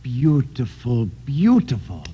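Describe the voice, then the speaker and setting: An actor playing a bumbling, deferential president in a 1950s radio drama, hesitant, stammering and apologetic. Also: male voice, mid-range voice, very animated, slow pace, slightly rough, full character voice. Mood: wistful, deferential. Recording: muffled, humming vintage radio broadcast recording.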